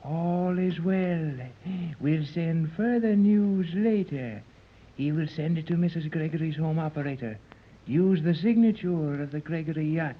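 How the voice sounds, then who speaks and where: Stern island overlord, clipped and commanding, highish voice, 1930s radio serial, tinny narrow-band sound. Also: male voice, a little slow. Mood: coldly commanding. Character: stern, imperious male ruler of a secret island.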